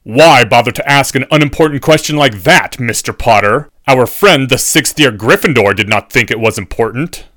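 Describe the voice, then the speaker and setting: A man's voice: mid-range voice, expressive, normal speed, a bit bright, dry recording, clean studio sound, lightly acted. A man voicing a menacing, sardonic professor in an audiobook story, formal and biting in his speech. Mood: furious, sarcastic.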